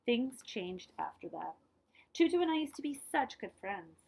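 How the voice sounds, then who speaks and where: A woman reading a children's picture book aloud in an expressive, dramatized way, dropping to hushed phrase endings and adding short asides. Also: a little high, normal speed, vocal fry, breathy edge.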